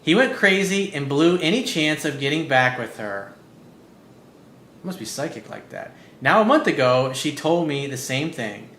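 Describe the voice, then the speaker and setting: A man working as a dating coach, giving blunt, profane advice as he reads out listener emails. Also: moderate pace. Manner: blunt and profane, animated, repeats key points. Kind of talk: casual advice monologue reading out emails. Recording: roomy echo with faint low rumble.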